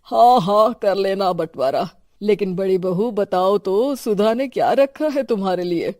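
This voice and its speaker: A woman voicing a mild, elderly father-in-law with a gentle, resigned manner in a cartoon story. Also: medium pitch, measured pace, no room sound, clean studio sound, natural voice. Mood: placating.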